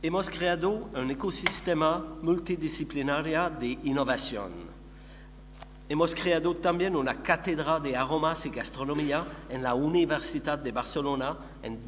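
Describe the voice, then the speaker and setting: A middle-aged man from Montreal, a native French speaker with a Quebec French accent, gives a conference presentation in a second language, haltingly searching for words. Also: on the high side, fairly bright tone. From Montreal, Canada. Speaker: conference speaker, company founder and researcher. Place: conference hall. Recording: echoey hall with long reverb, background hum.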